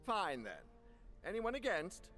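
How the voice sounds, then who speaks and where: A haughty senior councillor in a fantasy game. Her cutting voice is forceful and swings dramatically in pitch, with faint music underneath. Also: big pitch swings, unhurried. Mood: scornful. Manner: imperious, cutting. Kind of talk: video game cutscene acting.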